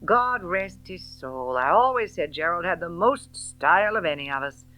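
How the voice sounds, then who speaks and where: A woman's voice: on the low side, lively intonation, normal speed, sharp tone, soft background music, poor audio. A woman voicing a tall, querulous old uncle in an audiobook novel, with thin, complaining tones. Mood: grumbling reminiscence.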